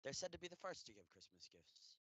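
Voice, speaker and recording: A man playing an earnest storyteller who narrates a radio play in a measured, theatrical way. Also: mid-range voice, sing-song, sharp tone, no room sound, low-quality recording. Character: earnest storyteller narrator addressing dear listeners.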